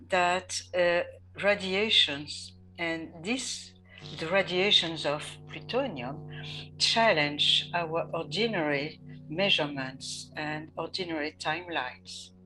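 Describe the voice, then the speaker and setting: A woman answering interview questions as an expert, slow and measured, pausing often with fillers. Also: on the low side, pushed and bright, low-quality recording.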